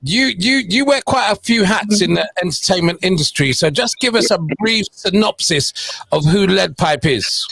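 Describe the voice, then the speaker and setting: A man's voice: high-pitched, expressive, normal speed, creaky, a bit bright, slightly rough, no room sound, poor audio. A middle-aged man hosting a radio show, chatting and teasing his guest in a casual interview.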